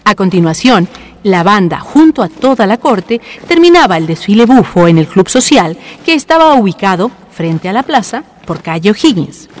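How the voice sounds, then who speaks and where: Female radio-drama narrator, scripted storytelling, mid-pitched, animated intonation. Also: normal speed.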